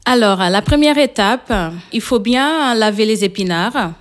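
A woman with a Portuguese accent, a native Portuguese speaker, talking as a guest cook in a radio interview and pausing often with fillers. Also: a little high, lively intonation. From São Tomé and Príncipe, raised in Portugal. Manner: hesitant, frequent pauses with fillers.

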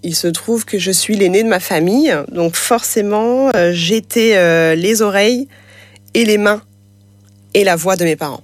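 A woman guest whose first language is French Sign Language talks in a casual, hesitant way, often pausing and restarting her sentences. Her voice sits at a middle pitch for a woman. The recording is dry and close. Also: no room sound. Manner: hesitant, many fillers and restarts. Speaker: interview guest. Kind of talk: casual conversational interview.

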